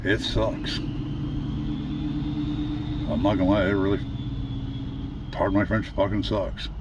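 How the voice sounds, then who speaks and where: A man giving a candid, casual personal update in a plain-spoken, halting, mildly profane way. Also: sits low, steady tone. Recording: dull lo-fi audio, faint background noise.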